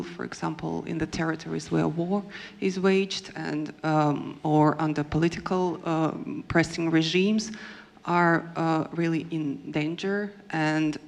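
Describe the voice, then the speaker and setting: A woman with a Russian accent, moderating a conference panel in a hesitant way with many fillers and pauses. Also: fairly low pitch, vocal fry.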